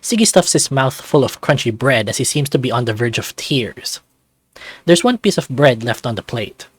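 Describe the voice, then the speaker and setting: A man's voice: mid-range voice, lively intonation, moderate pace, husky, no echo. A man hosting a casual playthrough, reading a visual novel's narration aloud.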